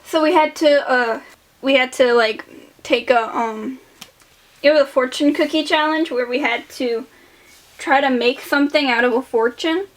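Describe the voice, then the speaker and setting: Late-teen woman, high pitch, lisp, casual rambling commentary, offhand, self-deprecating, artist vlog voice-over. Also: moderate pace. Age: late teens. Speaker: artist showing old sketchbooks.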